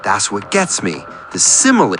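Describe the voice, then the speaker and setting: An earnest, lonely male oddball overshares in rambling detail, played by a man for a radio comedy. His mid-pitched voice is bright and forceful, swinging widely in pitch, with music behind it. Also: huge pitch swings, normal speed. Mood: wistful. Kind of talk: scripted radio comedy monologue.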